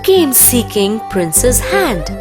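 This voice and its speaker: Slow, animated woman storyteller. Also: fairly high pitch, over music. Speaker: narrator. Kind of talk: scripted children's story narration.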